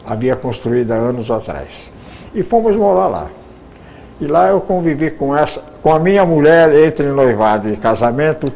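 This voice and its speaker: A man's voice: mid-range voice, gravelly, a little mellow, a little hiss or hum. An older man, a retired radio announcer telling his life story, rambling with frequent restarts.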